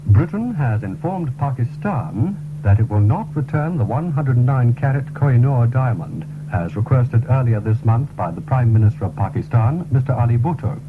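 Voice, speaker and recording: A male newsreader delivers a formal radio bulletin in a measured way, at an ordinary male pitch. The sound is thin and narrow, like an old 1970s broadcast heard through a small radio. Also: animated, background noise. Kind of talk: formal scripted news bulletin.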